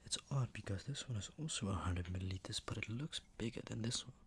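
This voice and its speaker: A man with a fairly low voice talks in a casual, rambling ASMR style. He speaks softly and often slips into a whisper. The recording has clear background noise, with clicks from handled objects. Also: fast. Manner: soft-spoken, often dropping into whispers. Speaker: ASMR video host.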